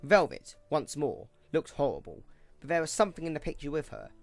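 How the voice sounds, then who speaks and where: A man narrating a scripted audio drama in an expressive storytelling manner. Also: fairly high pitch, brisk, faint music behind.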